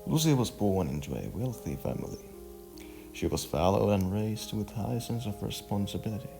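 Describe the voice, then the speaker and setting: A man narrating a scripted story in a halting way, stumbling over words. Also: fairly low pitch, animated, creaky, warm tone, faint music behind.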